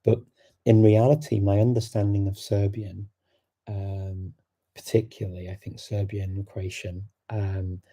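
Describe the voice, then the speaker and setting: A middle-aged man hosting a live-stream Q&A, answering viewer questions in a thoughtful, unhurried way full of fillers and restarts. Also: fairly low pitch, little pitch movement, warm tone. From England.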